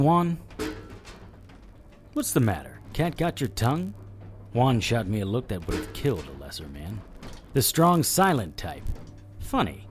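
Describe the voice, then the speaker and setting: An actor playing a sardonic, defiant noir detective in an audio drama, needling others and narrating in the first person. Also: male voice, mid-range voice, a little mellow, natural voice. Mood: wry narration. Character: wisecracking, defiant male noir detective hero.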